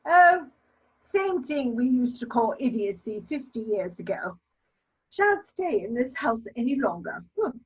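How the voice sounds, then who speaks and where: Haughty old society mother, acted by a woman, slow and swooping delivery, period stage comedy, slightly dull recording. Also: a little high, big pitch swings, husky, exaggerated voice. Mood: disdainful, exasperated. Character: imperious, disapproving elderly society matron, a mother. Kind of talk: theatrical dramatic reading of a period comedy.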